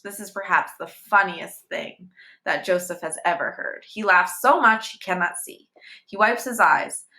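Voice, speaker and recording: A woman narrating a novel as a read-aloud, in short, measured sentences. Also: lowish voice, bright, forceful tone. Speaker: reader, teacher.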